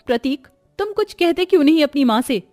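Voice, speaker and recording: Cartoon role for a woman: conceited young sister, very high voice, dry sound, scornful and whiny. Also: lively intonation, brisk, darkish tone, no echo, faint music behind. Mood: haughty, irritated. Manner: taunting, boastful, whiny. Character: vain, haughty young woman mocking her sister.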